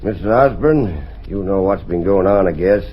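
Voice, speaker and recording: A matter-of-fact police detective explains the plan in a low, steady voice. The old radio recording is dull, with faint hiss. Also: male voice, normal speed. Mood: calm. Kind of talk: 1940s radio acting.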